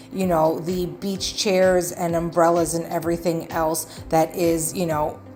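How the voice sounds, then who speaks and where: Woman, travel vlogger, lowish voice, casual rambling narration, earnest, background music. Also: darkish tone.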